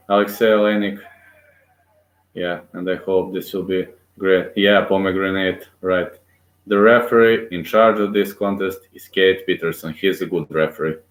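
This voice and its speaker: Excitable man calling a fight, echoey room. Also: low-pitched. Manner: excitable, rooting aloud, frequent fillers. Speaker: amateur fight commentator. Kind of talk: live play-by-play commentary.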